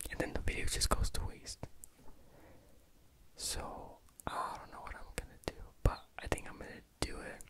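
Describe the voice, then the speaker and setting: A young adult ASMR host whispering casually in a rambling, slangy storytelling style, close to the microphone. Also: low-quality recording. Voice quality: unvoiced whisper.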